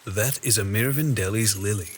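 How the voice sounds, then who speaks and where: A man's voice: on the low side, normal speed, slight background noise, close to an everyday voice. A man playing a stern, watchful lord with formal, commanding speech in a fantasy audio drama. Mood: composed. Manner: formal, commanding, archaic phrasing. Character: stern, watchful male lord guiding his companion.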